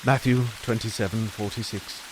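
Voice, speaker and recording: A man's voice: on the low side, steady tone, normal speed, background noise, lightly acted. An actor playing a pious, wordy clergyman in a period audio drama, solemn and given to quoting scripture. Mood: sympathetic, rambling. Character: pious, fussy, rambling clergyman, male.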